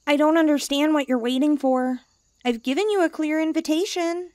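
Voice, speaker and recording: A woman's voice: high-pitched, moderate pace, a little mellow, dry recording, studio quality, natural voice. A woman playing a haughty, needy young stray catgirl, blunt and cutesy, in an ASMR comfort roleplay. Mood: haughty, inviting.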